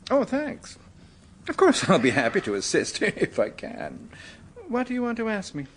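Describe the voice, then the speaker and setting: An actor playing an evasive, easily flustered American manuscript collector in a radio drama, polite but defensive, sometimes stammering. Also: male voice, high-pitched, huge pitch swings, normal speed, a little hiss or hum. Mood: affable, surprised.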